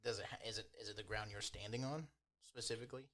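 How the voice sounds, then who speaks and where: Bright, forceful male dungeon master. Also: lowish voice, fairly even intonation, quick pace. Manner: casual, colloquial. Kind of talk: improvised tabletop game narration.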